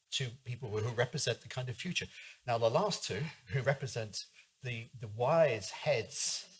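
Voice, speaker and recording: A man's voice: mid-range voice, breathy edge. A man moderating a panel, polite and inviting as he introduces speakers.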